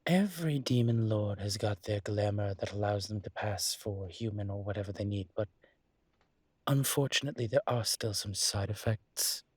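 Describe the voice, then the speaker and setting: A man playing a suave, flirtatious demon professor in an intimate audio roleplay, speaking in a courtly, teasing way with formal wording and hesitant pauses. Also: on the low side, husky, no room sound. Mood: measured, explanatory.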